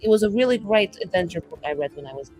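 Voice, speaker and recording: A woman's voice: medium pitch, lively intonation, fast, darkish tone, faint music behind, low-quality recording. A woman improvising casual, tentative and polite dialogue as a player in a tabletop roleplaying game.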